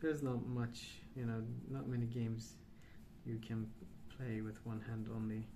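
A man speaking softly and hushed in an unhurried, halting ASMR ramble, often trailing off. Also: mid-range voice, little pitch movement. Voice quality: soft, hushed. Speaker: ASMR video host. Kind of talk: soft-spoken ASMR rambling. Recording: clear background noise.

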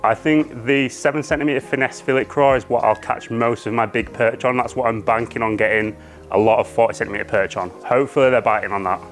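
A man's voice: medium pitch, steady tone, fairly quick, soft background music. A man answering interview questions as an angler. He sounds hopeful and easygoing, recorded on a lapel mic indoors. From Yorkshire, England. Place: indoor room.

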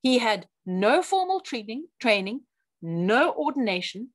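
A woman reading a written religious history aloud with emphatic, deliberate phrasing. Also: on the high side, very animated. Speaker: reader of a written church history talk.

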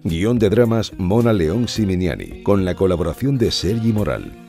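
A man's voice: low-pitched, expressive, moderate pace, dark tone, faint music behind. A man reading scripted credits as the announcer of an audio drama.

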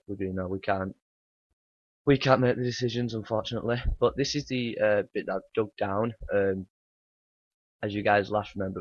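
Man, croaky morning voice, low monotone, rambling gameplay chatter, dry lo-fi desktop mic. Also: dry recording. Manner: rambling, relaxed, lots of ums and uhs. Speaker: gaming channel host. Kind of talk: casual gameplay commentary. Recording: dry, dull lo-fi home mic.

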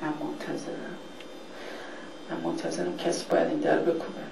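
A man's voice: fairly high pitch, completely flat delivery, vocal fry. A man reading a play on stage, playing a dying, fearful man who pleads in anguish. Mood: fearful, pleading. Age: middle-aged. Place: indoor hall or classroom, staged reading. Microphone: handheld dynamic mic on stand. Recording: noisy, echoey live hall recording, distant.

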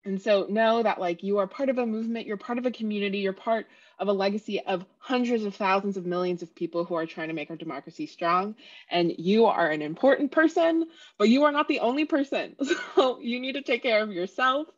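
A woman's voice: mid-range voice, brisk. A young woman on a panel over a video call, speaking earnestly and encouragingly, laughing now and then. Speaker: panelist, civic engagement organizer. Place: indoor room on video call. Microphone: headset. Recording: slightly dull video call audio.

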